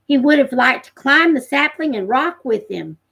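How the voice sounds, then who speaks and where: A woman's voice: high voice, expressive, normal speed, creaky, a bit bright, husky. A woman narrating a storybook in a live read-aloud, as the host of a read-along.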